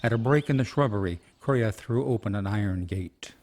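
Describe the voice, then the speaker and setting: A man's voice: on the low side, moderate pace, background noise. A man narrating a scripted fantasy radio play in a dramatic storytelling style. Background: music.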